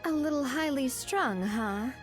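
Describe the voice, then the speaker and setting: A woman voicing a poised, friendly and spirited young lady in a video game, with a breezy, expressive delivery. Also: sits high, unhurried, faint music behind. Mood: wry. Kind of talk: video game dialogue acting.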